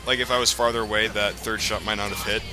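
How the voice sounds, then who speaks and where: Male let's play host, lowish voice, casual and explanatory, rambles, game music underneath. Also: level tone, quick pace. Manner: rambling, explanatory, frequent profanity. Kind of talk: casual video game commentary. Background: video game music and sound.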